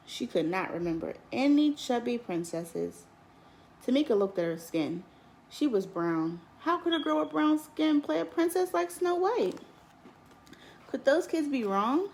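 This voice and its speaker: A woman teacher reading a picture book aloud in an expressive, lilting storytelling manner. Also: high-pitched, measured pace, vocal fry, slight background noise. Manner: expressive, lilting storytelling with lifts on key words.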